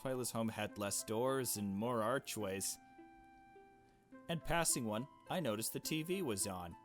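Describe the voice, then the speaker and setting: A man's voice: fairly low pitch, expressive, a little slow, soft background music. A man reading a visual novel aloud and commenting casually in a slangy, informal way. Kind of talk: casual gameplay commentary and narration.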